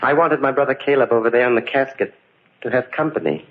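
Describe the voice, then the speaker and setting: An actor playing a deranged, vengeful killer in an old radio horror drama, menacingly polite and gloating, with mad laughter. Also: male voice, medium pitch, fast talker, natural voice. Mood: sinister, gloating. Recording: muffled, noisy old radio recording.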